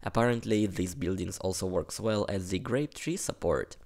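A man in his twenties with a Russian accent, casually narrating a tour in a relaxed, chatty way with slightly hesitant phrasing. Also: sits low, normal speed. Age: 20s. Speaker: vlogger giving a house tour. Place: outdoor garden plot. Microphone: phone at arm's length.

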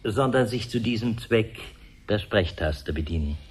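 Ceremonious servant, lower register, obsequious and formal, seventies radio drama, faint noise. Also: male voice, expressive. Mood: deferential, ceremonious. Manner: deferential, formal, measured. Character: obsequious, ceremonious male butler serving wealthy guests. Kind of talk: 1970s German radio play.

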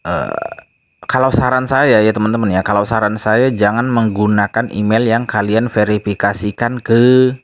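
A man vlogging casually, reading social media posts aloud and explaining them with many filler phrases, voiced over a screen recording. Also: fairly low pitch. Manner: repeats "ya teman-teman ya" often, reads posts aloud then explains. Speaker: crypto news vlogger. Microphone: phone mic, voice-over on screen recording.

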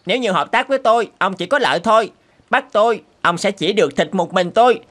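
A woman's voice: medium pitch, expressive, fast talker, lightly acted. A woman voicing a cunning, fawning wild turkey in a cartoon, pleading and persuading. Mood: coaxing, persuasive. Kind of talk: cartoon character acting.

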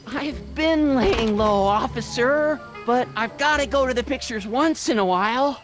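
A man's voice: extremely high pitch, a little slow, over music. An actor playing a timid, nervous young American man in a video game, speaking hesitantly.

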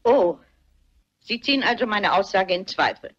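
An actress playing a sharp-witted old English spinster sleuth, brisk, insistent and precise, in a vintage film dub. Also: female voice, mid-range voice, lively intonation, creaky. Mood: stern, affronted. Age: older. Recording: dull vintage film soundtrack.

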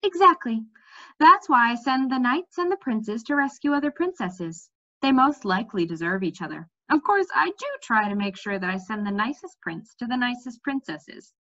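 A woman voicing a brisk, practical, outspoken young princess in a storybook, matter-of-fact and emphatic. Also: a little high, animated, bright, forceful tone. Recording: slightly dull webcam audio.

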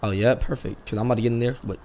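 A man's voice: medium pitch, steady tone, rapid-fire, heavy background noise. A young man in casual roleplay chat, speaking street slang and often repeating his phrases. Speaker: streamer playing a GTA roleplay character.

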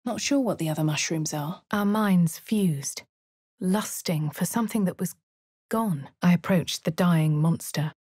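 Dry posh adventurer. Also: female voice, lowish voice, darkish tone, husky, dry recording. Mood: wry. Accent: posh English. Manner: dry, measured, lightly sardonic. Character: posh female adventurer, wry and composed. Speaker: player character. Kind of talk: game voice lines. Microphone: studio mic.

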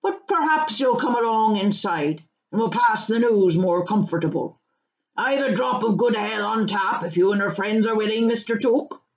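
A woman voicing a gruff but hearty male hobbit farmer in a storybook, with loud, blunt, rustic country speech. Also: medium pitch, lively intonation, moderate pace, reverberant, muffled recording, lightly acted. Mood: grumbling, confiding. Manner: rustic, colloquial, blunt turns of phrase.

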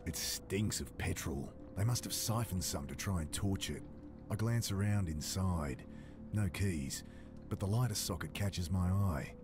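Deep-voiced, weary brooding drifter. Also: male voice, measured pace. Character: weary, shaken drifter man, brooding narrator. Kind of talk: pulp thriller game narration and dialogue. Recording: dark synth music behind. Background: brooding synth score.

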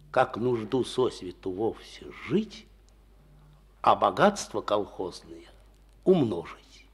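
A slow, husky old farmer. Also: male voice, lowish voice, heavily acted. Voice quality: husky, drops to a hushed whisper. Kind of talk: 1950s Soviet radio drama acting.

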